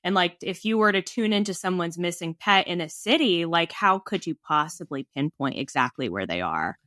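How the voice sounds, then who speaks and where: Bright, enthusiastic woman hosting a podcast. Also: lowish voice, expressive, moderate pace, dry recording, clean studio sound. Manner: enthusiastic, affirming. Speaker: podcast host. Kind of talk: casual podcast interview.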